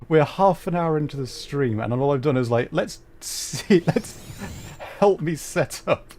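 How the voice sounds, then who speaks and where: Slow-paced, flustered man livestreaming. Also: on the high side, animated, mellow, soft background music. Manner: flustered, apologetic, self-deprecating. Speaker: livestream host playing a video game. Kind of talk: casual livestream chat while troubleshooting.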